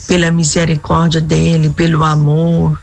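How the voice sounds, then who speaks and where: Hoarse, gravelly woman caller. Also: sits low, completely flat delivery, measured pace, slight background noise. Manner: gentle, pious, blessing-filled. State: hoarse with a cold. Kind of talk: devotional phone call.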